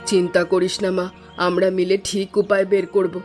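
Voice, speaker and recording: A woman voicing a caring middle-aged wife and mother in a cartoon story, speaking gently and soothingly. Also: fairly low pitch, flat delivery, normal speed, faint music behind, natural voice. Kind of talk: cartoon voice acting.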